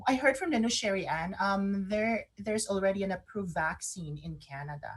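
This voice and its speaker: Woman, Filipino accent, medium pitch, casual co-host chat, expressive, halting delivery with repeated words. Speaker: radio show co-host.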